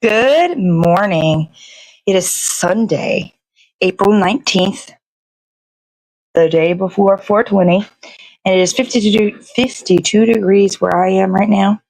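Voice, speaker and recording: A woman's voice: mid-range voice, takes it slowly, darkish tone, dry recording, rough recording. A woman hosting a morning show with casual, laid-back, rambling chat.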